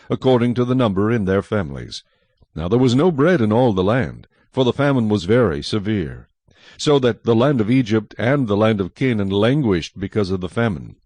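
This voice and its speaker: A man narrates scripture in a lowish voice with very animated intonation. His delivery is measured and dramatic, like scripted storytelling, and the recording is very dry. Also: dry recording.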